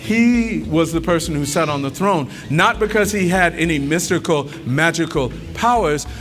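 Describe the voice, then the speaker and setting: A man's voice: fairly high pitch, normal speed, fairly bright tone, faint music behind. A man telling a folktale aloud to an audience in a dramatic way, calling out to his listeners. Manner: dramatic, call-and-response with the audience. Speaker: storyteller.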